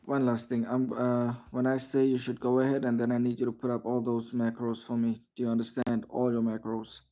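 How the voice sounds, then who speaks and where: Brief: male game-squad trainer, monotone and mellow, formal with "sir", muffled mic. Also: mid-range voice, brisk. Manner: instructive, formal, ends lines with "sir", many "um"s. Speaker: military unit trainer in a roleplay game. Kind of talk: giving a trainee feedback over game voice chat.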